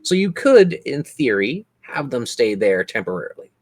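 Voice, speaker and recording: A man's voice: mid-range voice, very animated, normal speed, darkish tone. A man narrating a tabletop role-playing game as game master, describing scenes and prompting players with questions.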